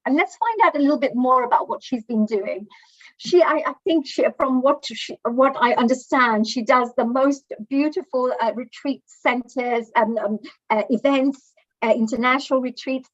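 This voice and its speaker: A woman host speaks in a high, bright register, effusive and chatty. She uses plenty of fillers. The sound is dry and dull, like a lo-fi online call. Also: no room sound. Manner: warm, effusive, with many fillers. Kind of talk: livestream interview hosting.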